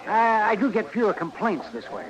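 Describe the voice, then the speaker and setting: An actor playing a cantankerous, morbidly jovial older frontier doctor in an old-time radio western, fussy and sputtering. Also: male voice, high voice, sing-song, normal speed, low-quality recording. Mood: grumbling, wry. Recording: vintage radio, crowd behind.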